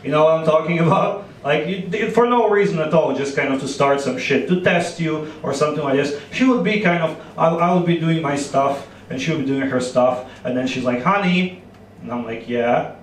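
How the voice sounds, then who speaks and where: Croatian-accented comedian in an echoey club. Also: male voice, on the high side, distant mic. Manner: animated, playful storytelling with quick asides. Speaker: stand-up comedian. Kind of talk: stand-up set. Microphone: handheld microphone. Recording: echoey club, audience laughter. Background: audience laughter.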